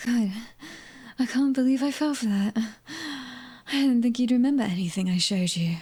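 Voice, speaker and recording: A cocky British mercenary woman, played by a female ASMR performer, with a dark, husky voice. She taunts at an unhurried pace in an intimate roleplay manner, often sinking to a breathy near-whisper. Her temper is fiery and prickly. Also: a little high. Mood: pained, exasperated. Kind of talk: ASMR audio roleplay acting.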